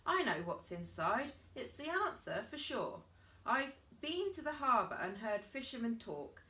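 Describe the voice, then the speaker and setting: A smug know-it-all tomcat from a storybook, voiced by a woman. The delivery is self-assured and teasing, unhurried, with lively rises and falls. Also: on the high side, reverberant, distant mic, full character voice.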